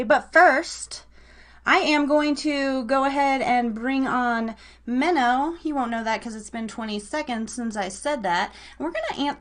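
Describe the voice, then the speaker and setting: High-voiced, chatty woman hosting live radio. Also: fairly bright tone. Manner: chatty, playful, informal teasing. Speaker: host of a live online radio show and podcast. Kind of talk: casual live radio chat with a guest.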